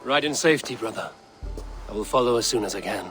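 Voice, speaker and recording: A man acting as a steady, loyal Viking warrior in a game cutscene, speaking in a terse, stately way. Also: on the low side, fast talker, soft background music. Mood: calm, measured.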